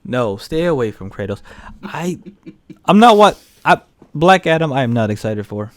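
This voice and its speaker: A man's voice: mid-range voice, sing-song, normal speed. A man co-hosting a casual film and video game chat, speaking in an opinionated, blunt way. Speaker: podcast co-host reviewing films.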